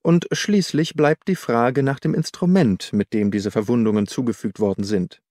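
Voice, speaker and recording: A man reads as a shrewd detective in a classic audiobook mystery. He reasons methodically and with assurance, in a mid-pitched voice with a dry studio sound. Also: moderate pace, warm tone, dry recording, lightly acted. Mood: reasoning.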